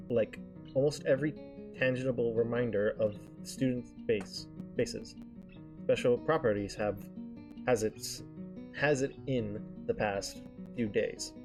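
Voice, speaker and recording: A man with a mid-pitched voice reads a visual novel's narration aloud. He reads haltingly, tripping on words and correcting himself. Soft guitar music plays behind him. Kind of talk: live read-aloud of narration. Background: game background music with guitar.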